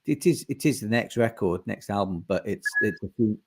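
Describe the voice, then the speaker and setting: A man hosting a casual interview, speaking haltingly and searching for his words. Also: lowish voice, lively intonation, slightly rough. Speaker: podcast host.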